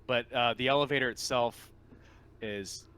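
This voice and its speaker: A man's voice: mid-range voice, a little slow, bright, forceful tone, clean tone. A man narrating a tabletop roleplaying game as game master, describing things in a conversational, hesitant way. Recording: noticeable background noise.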